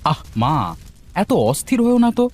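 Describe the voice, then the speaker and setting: A man's voice: high-pitched, very animated, normal speed. A man playing an affectionate, dutiful elder son in a fairy-tale cartoon. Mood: cheerful, fond. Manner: eager, affectionate.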